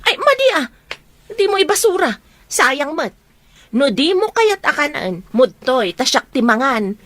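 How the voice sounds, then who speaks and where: A woman's voice: high-pitched, big pitch swings, unhurried, fairly bright tone, no echo, heavily acted. A woman acting as a teasing young Filipino best friend in a radio drama.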